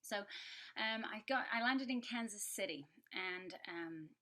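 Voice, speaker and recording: A woman's voice: medium pitch, lively intonation, slow, sharp tone. A middle-aged woman with a Yorkshire accent, introducing herself as a guest brass educator over a remote call, chatty and full of ums and restarts. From Yorkshire, England. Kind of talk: relaxed conversational self-introduction.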